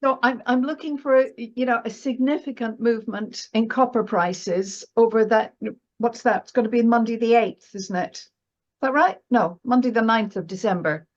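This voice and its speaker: A woman with a fairly high voice talks casually as a guest analyst. She is chatty and often tags her points with a question seeking agreement. The audio is a bit dull and lo-fi. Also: normal speed. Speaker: guest financial astrologer and market analyst. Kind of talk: casual conversational market discussion.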